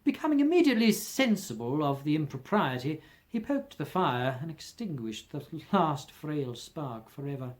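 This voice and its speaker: An audiobook narrator reading a classic story in an expressive storytelling style. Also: mid-range voice, moderate pace.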